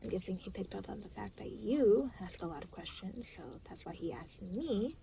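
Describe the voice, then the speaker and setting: A woman's voice: medium pitch, lively intonation, normal speed, vocal fry, dark tone, slight background noise, low-quality recording. A woman playing an aloof, reluctant, weary demon guide in an intimate audio roleplay, speaking in a dry, matter-of-fact, hesitant way. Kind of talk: intimate scripted audio roleplay spoken to the listener.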